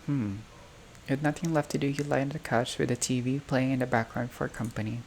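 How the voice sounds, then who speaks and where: A gamer reading visual novel text aloud in a halting way, breaking off for casual asides and reactions. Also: medium pitch, steady tone, a little mellow. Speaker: gaming video creator playing a visual novel. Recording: clearly noisy home recording, unstable audio.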